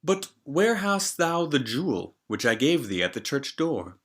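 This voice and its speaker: Man acting a fairy-tale prince, commanding, formal, questioning, bright forceful delivery. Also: a little high, very animated, unhurried, voice pushed up. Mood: suspicious, stern. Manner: formal, commanding, archaic phrasing. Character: stern, questioning young prince bridegroom. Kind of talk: storybook character reading.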